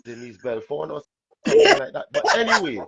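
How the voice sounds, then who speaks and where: A man with a Trinidadian accent and a raspy voice, telling animated stories as a guest in a casual interview. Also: fairly high pitch, fairly quick, heavy background noise. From Trinidad and Tobago. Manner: animated storytelling, laughs often. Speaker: soca artist guest.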